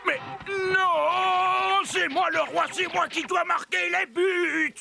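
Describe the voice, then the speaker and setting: An actor voicing a spoiled little boy king in a French children's cartoon, imperiously shouting orders over music. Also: very high-pitched, steady tone, slow, gravelly, exaggerated voice. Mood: bossy. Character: bossy spoiled little boy king, tantrum-prone tyrant. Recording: music behind the voice.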